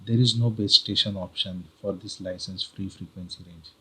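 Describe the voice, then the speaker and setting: A man with an Indian accent narrates a tutorial. His voice sits on the low side and has a husky grain. He speaks deliberately, restating key points like a careful instructor. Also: steady tone, pushed and bright. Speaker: video guide presenter.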